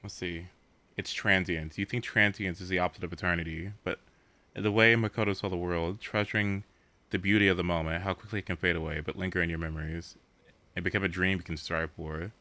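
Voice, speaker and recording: A man's voice: sits low, fairly even intonation, brisk, sharp tone, dry recording. A man doing casual live-stream game commentary, informal and thinking aloud.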